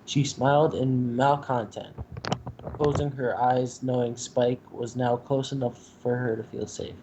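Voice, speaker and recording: A man's voice: medium pitch, fairly even intonation, unhurried, mellow, highs cut off. A man reading a story aloud as its narrator. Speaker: reader in a group fanfiction reading. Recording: faintly noisy home recording.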